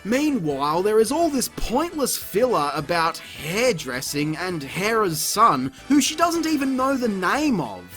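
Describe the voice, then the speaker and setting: Animated, sarcastic reviewer with lively swoops. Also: mid-range voice, a little slow, few pauses, soft background music. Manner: sarcastic, exasperated, sweary, theatrical. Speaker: YouTube video reviewer. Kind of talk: scripted comedic review narration.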